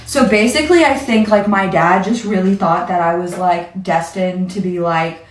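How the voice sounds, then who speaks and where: A woman's voice: on the low side, moderate pace. A young woman telling a casual personal story in a conversational, self-deprecating way, peppered with "like". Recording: roomy echo, distant from mic.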